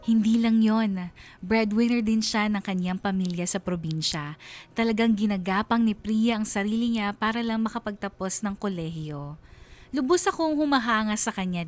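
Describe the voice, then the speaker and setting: A woman with a Filipino accent reading a scripted first-person story as a radio drama narrator. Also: mid-range voice. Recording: music bed under the voice. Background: background music.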